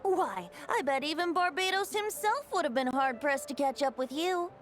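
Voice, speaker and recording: An actress playing a playful, flattering, theatrical character in a video game. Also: female voice, high voice, normal speed, faint music behind. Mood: admiring, theatrical.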